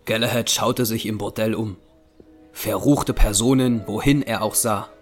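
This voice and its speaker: A man's voice: lowish voice, steady tone, measured pace. A man giving dramatic scripted narration as the storyteller of an audio drama. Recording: music bed behind narration.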